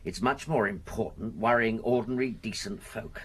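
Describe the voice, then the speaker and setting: A man's voice: on the low side, normal speed, fairly bright tone, slightly rough. An actor playing a grumpy, indignant businessman with gout in a radio drama, peevish and self-important. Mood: aggrieved, blustering.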